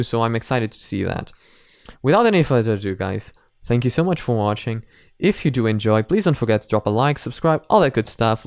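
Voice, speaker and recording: A man's voice: medium pitch, a little mellow, smooth, no room sound, clean studio sound. A man hosting a reaction video, talking in a casual, rambling, conversational way.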